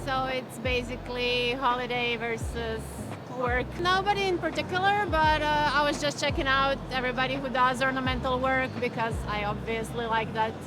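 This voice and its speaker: A woman with a Croatian accent speaks slowly and thoughtfully in a high, light voice. Clear music plays behind her in the convention hall. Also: fairly even intonation. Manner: measured, thoughtful, with pauses. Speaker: tattoo and piercing studio owner. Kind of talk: interview at a convention.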